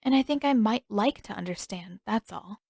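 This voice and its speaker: A woman's voice: medium pitch, animated, moderate pace, creaky, darkish tone, no echo, studio quality. A woman voicing a perceptive teenage girl who talks in a candid, lilting, playful way. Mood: thoughtful.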